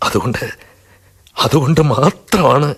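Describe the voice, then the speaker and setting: A man's voice: mid-range voice, lively intonation, a little slow, noisy background. A man playing a desperate husband in a radio drama, who pleads and sometimes rages. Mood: narrating sorrowfully. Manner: emotional, pleading, sometimes outraged. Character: desperate husband, middle-aged schoolmaster. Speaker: husband and narrator.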